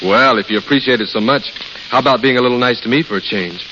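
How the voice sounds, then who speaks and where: An actor playing a jealous, brash athletic gas-station hand in a 1930s radio drama, blunt and slangy. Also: male voice, medium pitch, fast talker, close to an everyday voice. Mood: friendly, lovestruck. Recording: muffled, noisy old radio broadcast.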